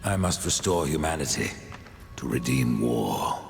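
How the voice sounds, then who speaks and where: A grim rider of the apocalypse for a fantasy game. His extremely low voice swings widely in pitch and is curt and threatening. It rings with long reverb. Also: lively intonation, unhurried, full character voice. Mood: grim, resolute. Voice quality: gravelly. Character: grim, menacing male horseman of the apocalypse, Death. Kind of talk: dramatic video game acting. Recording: long reverb, music underneath.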